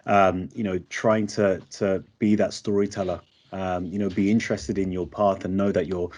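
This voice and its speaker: A man hosts an online panel, thanking one speaker and introducing the next. He talks in a fairly low voice. He pauses often with "um" and "you know". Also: flat delivery, a little hiss or hum. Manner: warm praise, hesitant fillers. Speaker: panel host.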